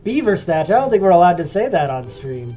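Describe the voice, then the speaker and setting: A man's voice: sits high, sing-song, fairly quick, dark tone, muffled recording. A man with a congested, phlegmy voice, giving chatty, joking commentary as he streams a video game. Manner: chatty and joking, rambling recaps. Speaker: let's play streamer. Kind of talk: casual gameplay commentary. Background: game music.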